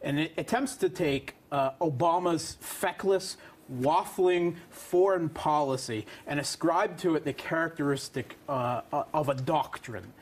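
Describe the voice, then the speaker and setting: High-pitched man in emphatic political debate. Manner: halting, emphatic, scornful. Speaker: panelist, foreign affairs analyst. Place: TV studio at a round desk.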